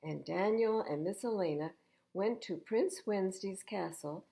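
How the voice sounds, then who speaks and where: An older woman reading children's storybooks aloud as a storytime host, expressive and emphatic, with chatty asides to young listeners. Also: mid-range voice, measured pace, gravelly. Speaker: library storytime reader.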